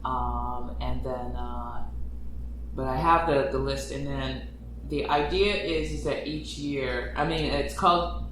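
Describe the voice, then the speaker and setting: A man in a casual chat about writing stories, who rambles, restarts his thoughts and grows animated when he retells a plot. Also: medium pitch, slow, a little hiss or hum. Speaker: podcast creator and writer. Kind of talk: casual conversation. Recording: echoey room, distant mic.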